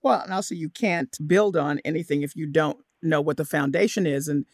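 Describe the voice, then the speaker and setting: Woman radio host, low creaky voice, interview style, dry studio sound. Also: brisk, darkish tone, no room sound.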